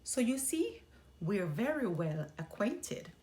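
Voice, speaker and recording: A woman's voice: mid-range voice, very animated, slow pace, dark tone. A woman voicing a sly, hungry male wolf in a children's storybook, coaxing with false politeness. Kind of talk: children's storybook character reading.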